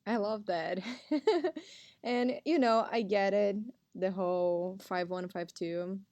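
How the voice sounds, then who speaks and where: A woman's voice: mid-range voice, takes it slowly, a little mellow, dry recording. A woman hosting an interview podcast, friendly and giggly as she asks her questions. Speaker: podcast host. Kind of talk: casual interview conversation.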